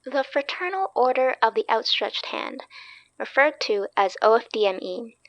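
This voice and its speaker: A woman's voice: fairly high pitch, expressive, normal speed, a bit bright, no echo, highs cut off. A woman playing a keen, wry young researcher in a horror audio drama, explaining things casually. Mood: eager, wry.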